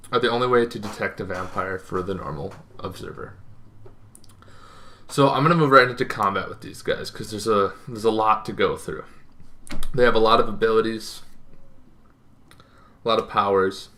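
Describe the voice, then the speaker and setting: A young man hosting an informal explainer to camera, speaking emphatically with halting, dramatic pauses. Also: on the low side, pushed and bright. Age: young adult. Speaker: host of a tabletop gaming series. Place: bedroom, indoors.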